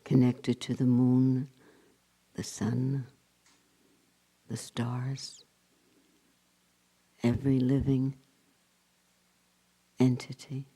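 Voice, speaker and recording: A man leading a guided meditation as a spiritual teacher, speaking in short phrases with long pauses and hushed endings. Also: mid-range voice, completely flat delivery, breathy edge.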